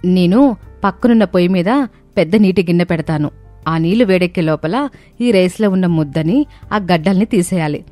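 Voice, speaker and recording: A woman's voice: on the low side, normal speed, mellow, dry recording, faint music behind. A woman reading the lines of a family story, voicing the daughters-in-law and the mother-in-law in turn. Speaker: storyteller voicing all characters.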